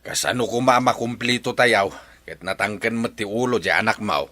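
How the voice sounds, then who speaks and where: A man playing a stern, gruff elderly grandfather with an Ilocano accent in a radio drama. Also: fairly low pitch, moderate pace, pushed and bright, no room sound. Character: stern older grandfather, husband.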